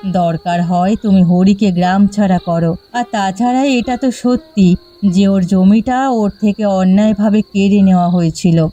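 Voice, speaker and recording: Woman playing a mellow, reproachful village housewife. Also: mid-range voice, steady tone, unhurried, highs cut off, lightly acted. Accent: Bengali. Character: reproachful, principled village housewife and sister-in-law. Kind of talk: Bengali cartoon voice acting.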